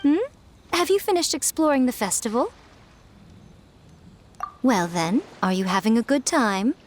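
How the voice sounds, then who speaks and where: An actress playing a poised, perceptive young schemer in a video game, with a composed, teasing, knowing manner. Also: female voice, on the high side, expressive, unhurried, a little hiss or hum. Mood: kindly knowing.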